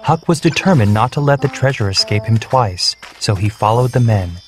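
A man reading a children's storybook, clear and measured. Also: fairly low pitch, expressive. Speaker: narrator. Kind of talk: scripted storybook narration. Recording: soft background music.

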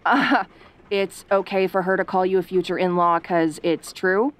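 A woman acts out a tsundere tomboy in an audio roleplay. She is sassy and easily flustered, talking casually and defensively, with sarcasm that covers her softer side. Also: fairly low pitch, moderate pace, close to an everyday voice. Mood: embarrassed, sassy. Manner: casual, defensive, sarcastic, hesitant when sentimental.

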